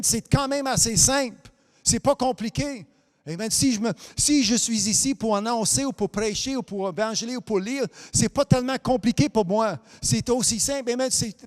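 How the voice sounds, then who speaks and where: High-voiced man preaching amid long reverb. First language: English. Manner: peppers lines with amen and hallelujah, halting restarts, sometimes sing-song. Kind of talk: sermon. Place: church hall.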